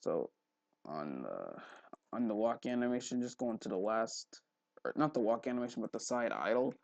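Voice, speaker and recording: A man hosting a casual, unscripted screen tutorial, haltingly repeating words and muttering to himself, recorded on a headphone mic at his neck. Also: fairly low pitch, sing-song, creaky, no echo, lo-fi sound. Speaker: tutorial host. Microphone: headphone mic held at the neck.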